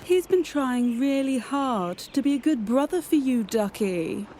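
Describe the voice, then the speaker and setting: A woman's voice: sits high, animated, a little slow, mellow, slight background noise. A woman playing a genteel, guilt-tripping middle-aged mother duck in an audio drama, speaking in a formal, reproachful manner. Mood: politely reproachful.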